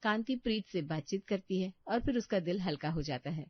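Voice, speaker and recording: A woman's voice: mid-range voice, lively intonation, brisk, no room sound, muffled recording. A woman narrating a scripted family story as a storyteller.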